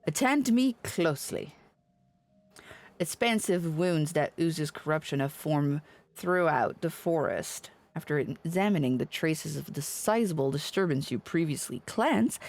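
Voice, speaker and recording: A woman's voice: sits low, darkish tone, full character voice. A woman voicing a stern, formal guildmaster in a fantasy game, speaking solemnly in archaic phrasing. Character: stern, formal guildmaster of healers, lecturing a pupil.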